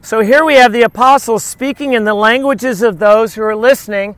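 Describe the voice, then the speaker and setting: A man's voice: very high-pitched, a little fast, no room sound, low-quality recording. A man giving an informal, conversational sermon, telling personal stories and acting out little quotes as he teaches. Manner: informal, animated storytelling with acted-out quotes. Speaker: preacher.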